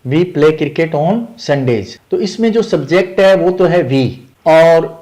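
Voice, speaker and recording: An Indian man with a slightly high voice gives a grammar lesson. He is methodical and instructive, restating each key point and dropping English terms into his explanation. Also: expressive, slow, warm tone.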